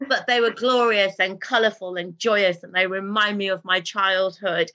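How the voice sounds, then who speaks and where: A woman, a guest in an interview, telling stories in an animated way and laughing easily. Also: medium pitch, moderate pace, lo-fi sound. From Britain, raised in a Pakistani family. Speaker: guest, television drama writer. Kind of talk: casual interview talk.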